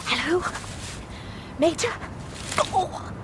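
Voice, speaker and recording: An actress playing a prickly young English woman engineer in a wartime radio drama, flustered and halting. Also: extremely high pitch, animated, full character voice. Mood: shaken, halting. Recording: loud engine and wind noise behind.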